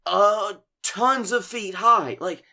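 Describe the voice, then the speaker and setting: A man co-hosting a comedy podcast, telling casual stories in an animated, playfully exaggerated way. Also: high voice, slow, creaky. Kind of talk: casual comic storytelling.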